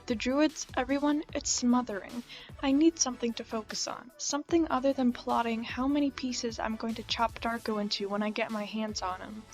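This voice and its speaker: A woman's voice: on the high side, lightly acted. A woman voicing a proud, troubled and defiant young woman horse rider from a video game, reading the dialogue with intensity. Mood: smothered, vengeful.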